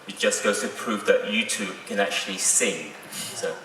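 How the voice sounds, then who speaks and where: A man's voice: fairly low pitch, moderate pace, bright, forceful tone. A man working as a magazine journalist who asks his questions in a playful, teasing way and praises generously. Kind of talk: joking press question. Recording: very echoey hall from far off.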